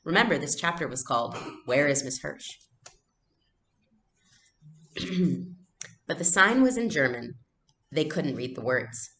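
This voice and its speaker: A woman teacher reading a storybook aloud in an expressive, explanatory way. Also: sits low, unhurried, pauses often, a little hiss or hum.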